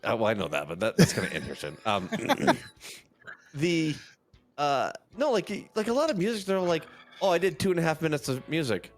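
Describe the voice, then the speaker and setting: A man's voice: fairly high pitch, big pitch swings, a little fast, slight background noise. A man co-hosting a podcast with joking, teasing, exclamatory banter. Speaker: podcast co-host.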